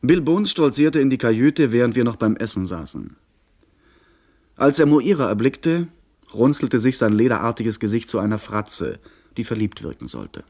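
An actor playing a young gentleman who tells his pirate adventure in a radio play, composed and literary. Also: medium pitch, sing-song, normal speed. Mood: composed narration.

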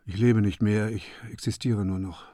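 An actor playing a bereaved, broken father in a radio drama, speaking in stark, measured short sentences. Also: lowish voice, fairly even intonation, mellow, dry recording, clean studio sound, natural voice. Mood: desolate. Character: grief-stricken bereaved father, broken, proud, brooding.

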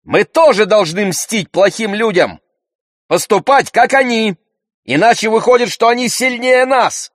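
Man acting a shrill, furious young boy. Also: expressive, a little slow, no echo, clean studio sound. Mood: outraged. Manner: shouting, insistent, outraged. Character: hot-headed young boy, indignant and vengeful. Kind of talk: audiobook character voice.

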